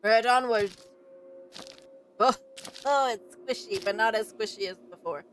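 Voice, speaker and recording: High-voiced, expressive woman streamer. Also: measured pace, faint music behind. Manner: expressive, exclamatory, chatty. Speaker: game streamer. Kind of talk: casual reactive let's play commentary.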